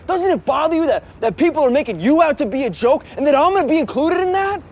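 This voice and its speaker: An actor playing a resentful young adult son, pleading in an aggrieved way in a naturalistic film drama. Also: male voice, extremely high pitch, expressive, normal speed. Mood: indignant. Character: resentful adult son living in his father's shadow. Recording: film audio with faint background noise.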